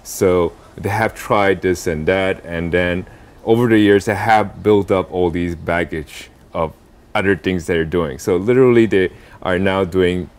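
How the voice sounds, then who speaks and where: A young adult man from South Korea answering interview questions about impact investing, pausing often with fillers and restarts. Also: low voice. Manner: halting, many fillers and restarts.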